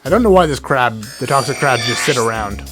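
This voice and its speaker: A hoarse man, sick, giving rambling casual commentary while playing a game, often stuttering and correcting himself. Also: medium pitch, expressive, brisk. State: hoarse from illness. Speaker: let's play gamer. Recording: game music underneath.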